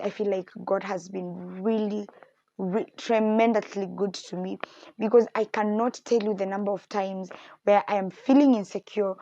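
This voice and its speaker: A woman's voice: mid-range voice, dry recording, highs cut off. A young woman hosting a podcast, talking candidly and reflectively about faith and her insecurities. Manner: candid, reflective, animated when quoting others. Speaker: podcast host. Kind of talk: personal faith talk.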